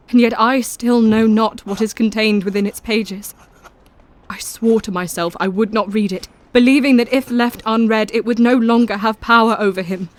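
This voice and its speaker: An actress plays a devoted young wife in a Victorian gothic drama. She speaks in a medium-pitched woman's voice, tender and earnest, with an anxious undercurrent and formal, old-fashioned phrasing. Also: natural voice. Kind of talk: gothic audio drama acting.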